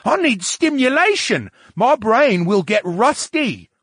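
Man doing a grumbling, high-strung talking brain, swooping pitch. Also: sing-song, slow pace, a bit bright, no echo, studio quality, heavily acted. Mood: grumbling, aggrieved. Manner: indignant, theatrical complaining. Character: grumbling, needy, boisterous talking brain in a tank. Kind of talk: audiobook character dialogue.

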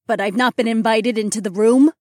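A proud, sharp-tongued she-wolf leader played by a woman in a fantasy audiobook. She speaks in an assertive, articulate way, with a medium pitch and a close, dry sound. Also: fairly even intonation, brisk, no room sound, lightly acted. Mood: indignant, demanding. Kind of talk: audiobook character dialogue.

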